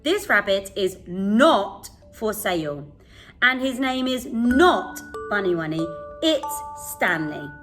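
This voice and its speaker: A woman's voice: medium pitch, sing-song, slow pace, a bit bright, soft background music. A woman voicing a defiant little girl in a children's story, speaking in emphatic, indignant phrases.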